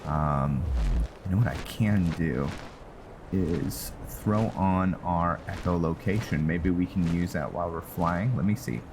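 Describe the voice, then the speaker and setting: Slow, low-voiced man doing game commentary. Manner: rambling, thinking aloud. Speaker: gaming channel host. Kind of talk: casual game roleplay commentary. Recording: clearly noisy background.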